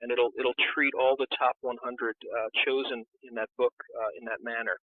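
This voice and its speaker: Male interview guest, mid pitch, conversational, narrow phone-like audio. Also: little pitch movement, normal speed, no echo. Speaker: interview guest, book publisher. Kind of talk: casual conversational interview answers. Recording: tinny phone-like call line.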